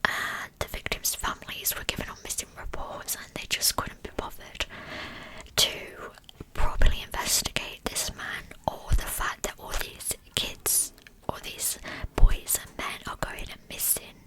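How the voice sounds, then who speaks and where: A woman hosting true crime ASMR, telling her material entirely in a soft, breathy, unvoiced whisper. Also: measured pace, poor audio.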